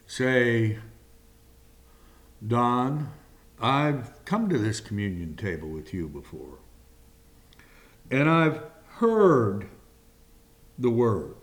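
A man preaching a communion sermon slowly and deliberately, pausing between phrases. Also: medium pitch, very animated. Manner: slow and deliberate, with long pauses.

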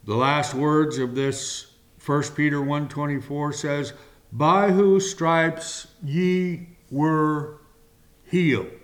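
A man preaching a communion sermon slowly and deliberately, pausing between phrases. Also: a little high, steady tone. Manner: slow and deliberate, with long pauses.

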